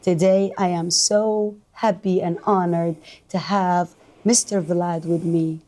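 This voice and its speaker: A woman hosting an interview, speaking slowly and admiringly to her guest. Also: on the low side, steady tone, dark tone. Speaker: host.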